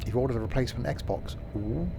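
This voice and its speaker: A man's voice: medium pitch, fairly quick, creaky, background noise. A man, chatting casually and rambling with fillers while live-streaming a game.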